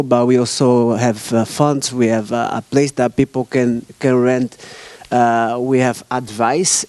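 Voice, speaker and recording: A man whose first language is Dutch presents his arts organization in English, speaking haltingly, searching for words and repeating himself. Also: medium pitch, warm tone, no echo. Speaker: arts organization staff member presenting at a meeting. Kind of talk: conference presentation.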